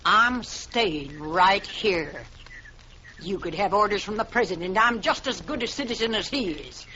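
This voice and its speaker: A woman playing a stubborn, middle-aged widowed homesteader in an old-time radio western, speaking in a forceful, repetitive, folksy way. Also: medium pitch, lively intonation, a little slow. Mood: defiant. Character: defiant stubborn widowed homesteader woman guarding her land. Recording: dull lo-fi recording with background noise.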